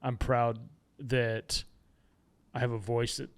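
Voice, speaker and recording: Smooth-voiced man, candid and self-mocking. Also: mid-range voice, flat delivery, takes it slowly. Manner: candid, self-deprecating humor. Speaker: podcast guest, author and podcast host. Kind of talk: reflective casual conversation.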